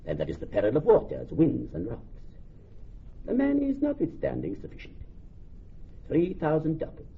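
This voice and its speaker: A man playing a shrewd, resentful Venetian moneylender in classical Shakespearean radio drama, deliberate and theatrical, musing over repeated phrases. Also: fairly high pitch, wildly swooping pitch, gravelly, husky, full character voice. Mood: animated, calculating. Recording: dull, lightly noisy old radio recording.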